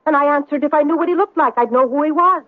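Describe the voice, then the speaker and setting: A woman's voice: high-pitched, fast talker, no echo, natural voice. Actress as feisty woman, lilting, indignant, theatrical old radio drama delivery, dry and narrow muffled vintage recording. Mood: brisk, indignant. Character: feisty, evasive Irish-American woman reporting a theft.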